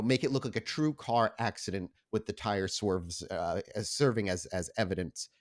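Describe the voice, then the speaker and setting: A man narrating a tabletop roleplaying game as game master in a conversational, descriptive way. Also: mid-range voice.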